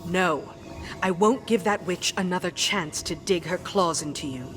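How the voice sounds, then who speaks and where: A woman's voice: fairly low pitch, normal speed, fairly bright tone, soft background music, close to an everyday voice. A woman playing a stern, unyielding military commander in a game, speaking in curt, firm lines. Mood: stern, hostile. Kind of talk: dramatic game dialogue.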